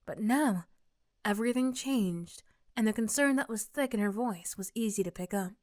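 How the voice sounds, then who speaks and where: A woman's voice: mid-range voice, moderate pace, a little mellow, dry recording, studio quality. A woman reading scripted second-person story narration for an ASMR roleplay.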